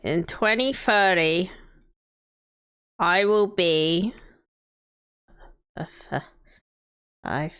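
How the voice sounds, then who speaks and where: A woman's voice: on the low side, very slow, long pauses, fairly bright tone, smooth. Chatty woman in her thirties. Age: 30s. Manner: chatty, thinks aloud, greets viewers by name. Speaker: streamer. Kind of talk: casual live-stream chat while playing a city-building game.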